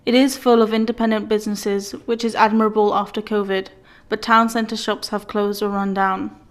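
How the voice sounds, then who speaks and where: Flat-toned 16-year-old speaker. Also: mid-range voice, normal speed. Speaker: young film participant. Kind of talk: personal testimony for a short film.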